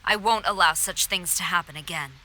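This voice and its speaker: A woman voicing a stern, dutiful female general who speaks formally and with measured command. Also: lowish voice, very noisy, lightly acted. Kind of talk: game cutscene voice acting.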